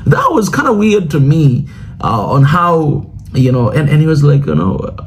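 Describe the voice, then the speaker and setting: A man's voice: on the high side, sing-song, moderate pace, a little hiss or hum. A man from Tanzania, a Swahili speaker, hosting a reaction video in a loose, rambling way and often asking "you know what I'm saying". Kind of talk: casual commentary reacting to news clips.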